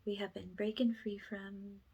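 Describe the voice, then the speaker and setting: Hushed, even-toned woman whispering ASMR. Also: medium pitch, unhurried, slightly rough. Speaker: ASMR energy healer. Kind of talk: ASMR spiritual guided cleansing.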